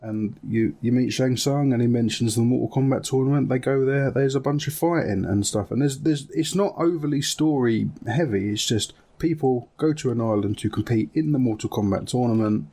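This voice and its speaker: A man's voice: medium pitch, normal speed, hardly any pauses, dark tone. A man chatting casually and enthusiastically as a podcast co-host, talking in a loose, conversational way. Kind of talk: casual chat about video game films.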